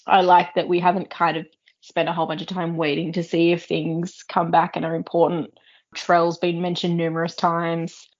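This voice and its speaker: A woman's voice: fairly low pitch, muffled recording. A woman giving her take as a panelist in a casual book discussion, informal and appreciative. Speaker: podcast panelist.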